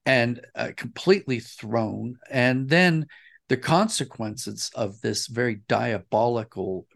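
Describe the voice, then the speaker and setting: A man's voice: medium pitch, gravelly. A man speaking as an interview guest, explaining his reflections earnestly and with emphasis. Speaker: interview guest, audio dramatist.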